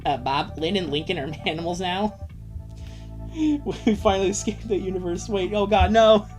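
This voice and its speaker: An irreverent livestreamer chatting casually between parts of a fan dub reading. The voice is animated and swings around in pitch, wry and exclamatory, over faint background music. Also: normal speed. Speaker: streamer, fan dub reader.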